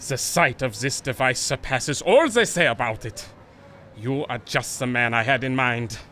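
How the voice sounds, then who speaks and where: An actor playing a suave, flattering flying ace, grandiose and formal, in a pulp audio drama. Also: male voice, medium pitch, unhurried, slight background noise. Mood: admiring, scheming. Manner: smooth-talking, grandiose, formal.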